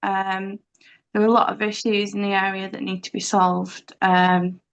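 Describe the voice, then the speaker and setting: A woman, a local council candidate, speaking earnestly with frequent pauses at a public meeting. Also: mid-range voice, flat delivery, creaky, highs cut off. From Leeds, England.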